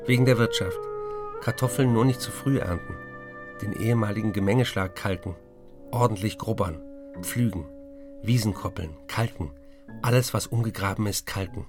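An actor playing a troubled, remorseful male writer reading his letters in a radio play, with a creaky voice. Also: fairly low pitch, moderate pace, natural voice. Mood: matter-of-fact. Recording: music under the voice.

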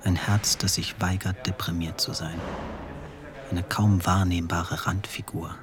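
A man reciting poetic, scripted radio play text in deliberate short phrases. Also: low-pitched, little pitch movement, background noise. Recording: clear music under the voice. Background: background music.

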